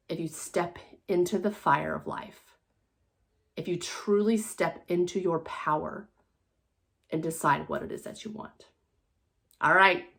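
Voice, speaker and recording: An American woman speaking as a motivational coach in a casual monologue, earnest and encouraging, repeating phrases for emphasis. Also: on the low side.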